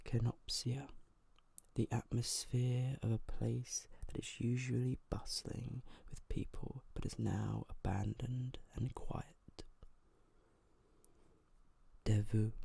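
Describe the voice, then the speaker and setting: An ASMR host reading aloud in a soft, breathy whisper, gentle and hushed, close to the microphone. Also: mid-range voice, no room sound. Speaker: host of an ASMR video channel.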